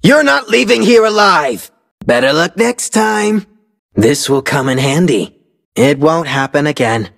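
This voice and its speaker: Casting: swaggering game gunslinger, husky and menacing, slow drawling pace with big pitch swings. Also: male voice, expressive, fairly bright tone, exaggerated voice. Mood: confident. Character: cocky, deadly male gunslinger demon hunter. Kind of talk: video game character voice lines.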